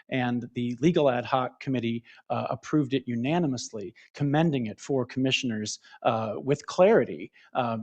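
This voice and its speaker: A man chairing a public commission meeting, speaking in a measured way with frequent hesitations. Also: medium pitch, darkish tone.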